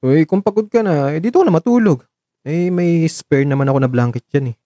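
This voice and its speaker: Man acting caring young boyfriend, soft mellow voice. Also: on the high side, animated, a little fast, no room sound, clean studio sound, pitched above the performer's own voice, lightly acted. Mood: concerned, caring. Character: caring, gently teasing young man in love, Marco. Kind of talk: Tagalog romantic radio drama dialogue.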